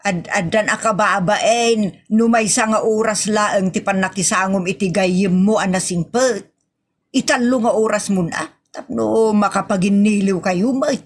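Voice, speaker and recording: A woman's voice: medium pitch, a little slow, slight background noise, natural voice. A woman with an Ilocano Filipino accent acting a bossy, exasperated wife in a comedy audio drama, scolding and questioning.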